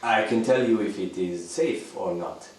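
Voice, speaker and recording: A man's voice: on the low side, a little slow, natural voice. Man acting as eccentric shady male friend, audio drama, chatty, knowing, heavy echo, distant from mic. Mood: cheerfully ominous. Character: eccentric, shady, overfriendly man, cheerfully ominous. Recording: very echoey, distant, faint music behind.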